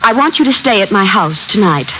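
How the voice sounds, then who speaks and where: A woman's voice: mid-range voice, lively intonation, fairly quick, lightly acted. Muffled old radio drama, woman acting a jittery rich widow, stammers and hesitates, very earnest, bit of hiss. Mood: anxious, insistent. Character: nervous, well-off widow hiring a detective. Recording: muffled, faintly noisy old radio recording.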